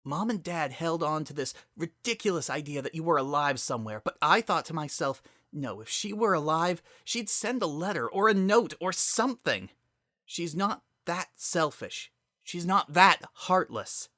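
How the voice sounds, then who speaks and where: A bitter, furious female pegasus pony from a dramatic story reading, acted out by a man. The voice is pushed well above a natural male pitch and is bright and forceful. She hurls accusations with wide, animated swings. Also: normal speed, dry recording, studio quality. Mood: hoarse hurt. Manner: accusing, forceful, loud outbursts.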